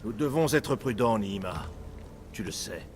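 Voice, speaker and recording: A man's voice: lowish voice, animated, unhurried, darkish tone, slight background noise. A grave, guarded older warrior father. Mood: urgent, cautious. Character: guarded older Persian father, weary secretive resistance fighter. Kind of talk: dramatic video game acting, French dub. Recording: game music behind.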